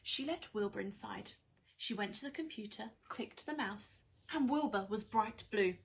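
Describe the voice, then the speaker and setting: A woman reading a children's story aloud like a primary school teacher, with expressive, dramatised narration. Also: medium pitch, normal speed, creaky.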